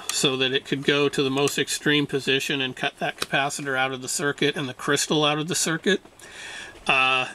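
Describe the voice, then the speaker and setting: A man giving an unscripted hands-on repair demonstration, explaining things in a halting, matter-of-fact way. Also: on the high side, bright, forceful tone. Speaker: radio restorer demonstrating repair.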